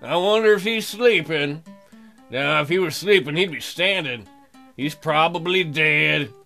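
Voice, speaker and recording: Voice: mid-range voice, unhurried, gravelly, sharp tone, soft background music. A let's play host reading game text aloud with bawdy, joking, playful asides.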